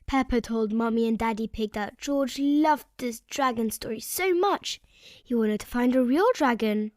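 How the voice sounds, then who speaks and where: A woman reading a children's story aloud with expressive, dramatic narration. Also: a little high, no echo, studio quality. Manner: slow, expressive, dramatic delivery. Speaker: story reader.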